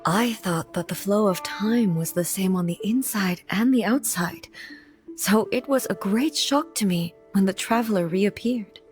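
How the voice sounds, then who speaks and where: Actress as regal goddess ruler: measured, formal, composed; faint game music under. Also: female voice, mid-range voice, darkish tone, lightly acted. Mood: solemn. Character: solemn regal female deity ruler, composed.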